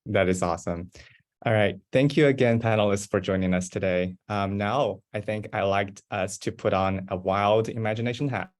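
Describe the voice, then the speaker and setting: A man's voice: on the low side, steady tone, normal speed. A young man moderating an online panel, polite and eager, with frequent "um"s. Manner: polite, eager, many fillers. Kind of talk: hosting a virtual panel.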